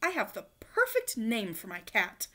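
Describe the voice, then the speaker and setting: Woman playing an eager, high-pitched young storybook boy. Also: wildly swooping pitch, measured pace, bright, forceful tone, heavily acted. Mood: excited. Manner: coaxing, emphatic. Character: eager young boy, earnest and pleading with his kitten.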